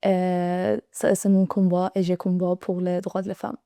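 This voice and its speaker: Young woman from Iran, earnest, hesitant, lowish smooth voice, steady tone. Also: no room sound, studio quality. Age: 20s. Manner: halting, many hesitations, earnest. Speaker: guest. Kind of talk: personal interview in French and English.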